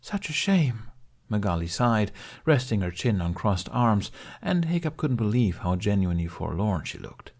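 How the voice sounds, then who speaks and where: Low, gravelly male audiobook narrator. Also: very animated, normal speed, darkish tone. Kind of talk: scripted story narration.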